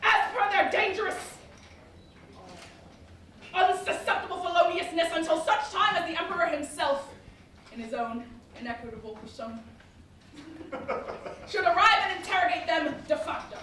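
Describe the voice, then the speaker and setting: A woman's voice: very high voice, moderate pace, long pauses, sharp tone. A woman playing a pompous, fawning Roman official, declaiming grandly in a theatrical staged reading. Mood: haughty, suspicious. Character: pompous Roman official, bombastic, word-mangling, fawning on the emperor. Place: echoey performance space, staged reading. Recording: distant mic, echoey hall, noisy.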